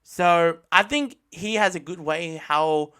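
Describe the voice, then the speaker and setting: Bright-voiced man hosting a podcast. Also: fairly high pitch, slow, clear, resonant tone. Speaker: podcast host. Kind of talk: casual podcast monologue, reviewing anime.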